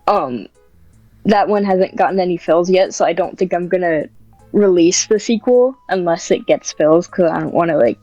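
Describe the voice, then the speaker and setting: A woman's voice: on the low side, normal speed, warm tone, no room sound, muffled recording, soft background music. A woman podcast guest chatting casually and hesitantly, thinking aloud as she answers. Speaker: podcast guest and script writer. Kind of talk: casual interview chat.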